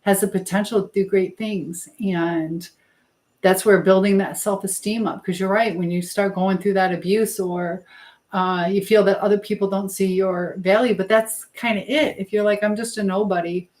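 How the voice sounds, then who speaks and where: A woman's voice: mid-range voice, fairly even intonation, moderate pace, darkish tone. A middle-aged American woman chatting casually as a livestream host, reading and answering comments with an encouraging manner, hopping from one thought to the next. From Michigan, United States. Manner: chatty, jumps between topics mid-thought.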